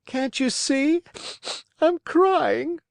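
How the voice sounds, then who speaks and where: A woman's voice: mid-range voice, takes it slowly, a little mellow, dry recording, studio quality, voice pushed up, close to an everyday voice. A woman voicing a young wooden puppet boy, emotional and eager, in a dramatic, plaintive storybook manner. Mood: pleading, anxious.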